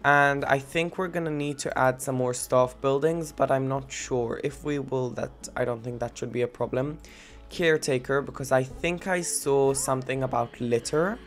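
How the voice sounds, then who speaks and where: A young man with a blocked, stuffy nose from a cold, giving rambling, giggly gameplay commentary. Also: mid-range voice. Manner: rambling, giggly, many fillers. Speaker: let's-play gamer.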